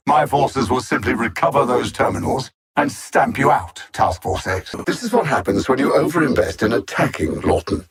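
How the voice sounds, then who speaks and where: An actor playing a cold, arrogant alien android supervillain in a video game, speaking precisely with pompous, condescending menace. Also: male voice, medium pitch, gravelly, fairly bright tone, husky, heavily acted. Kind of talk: scripted video game villain dialogue.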